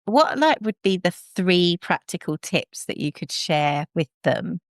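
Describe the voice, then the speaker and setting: A woman podcast host with a warm, relaxing voice, talking in a thoughtful, conversational way. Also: on the low side, big pitch swings, measured pace, no room sound, studio quality.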